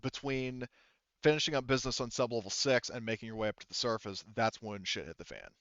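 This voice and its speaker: A man working as game master of a tabletop roleplaying game, narrating casually and haltingly, correcting himself as he explains on a headset. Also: medium pitch, a bit bright, no room sound, highs cut off.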